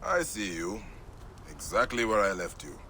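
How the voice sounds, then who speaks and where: A man's voice: lowish voice, very animated, normal speed, bright, forceful tone, slight background noise, full character voice. A man voicing a grave, dry-witted warrior in a game cutscene, speaking tersely. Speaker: game protagonist. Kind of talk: video game cutscene acting.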